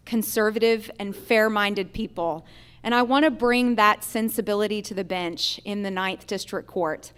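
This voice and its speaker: A woman's voice: medium pitch, smooth. A woman delivering a prepared campaign speech as a candidate for judge. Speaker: judicial candidate, lawyer. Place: theater, live event.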